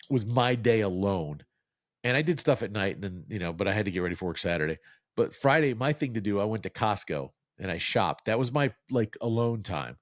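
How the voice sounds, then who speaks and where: A middle-aged man from New Jersey with a low voice tells long, rambling stories about himself on a podcast. He pokes fun at himself and slips into quick put-on voices when he quotes people. The recording is dry and close, with no room sound. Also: dry recording. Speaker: podcast co-host. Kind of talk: casual storytelling chat.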